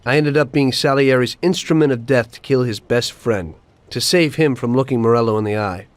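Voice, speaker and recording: A man's voice: medium pitch, a little fast, lightly acted. A man playing a disillusioned mafia gangster with an American accent, reflective and plain-spoken as he recounts his past in a game cutscene. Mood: weary, grave. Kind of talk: dramatic game cutscene narration.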